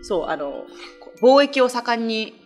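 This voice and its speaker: A woman's voice: a little high, very animated, takes it slowly, soft background music. A young woman from Okinawa, a guest actress, chatting casually and politely on a talk show. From Okinawa, Japan. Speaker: guest, actress promoting a stage play. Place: small indoor office studio. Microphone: tabletop microphone.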